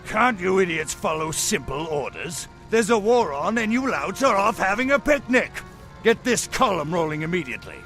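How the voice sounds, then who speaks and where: A haughty military commander, with a fairly high, very animated voice. He is pompous and sneering, with faint music behind. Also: normal speed, exaggerated voice. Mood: angry contempt. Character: haughty, contemptuous male human army commander. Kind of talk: video game voice acting.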